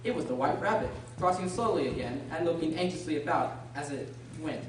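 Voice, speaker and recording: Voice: medium pitch, lively intonation, fairly quick, natural voice. A narrator reading a scripted story for a radio drama. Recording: echoey room, distant mic, faint noise.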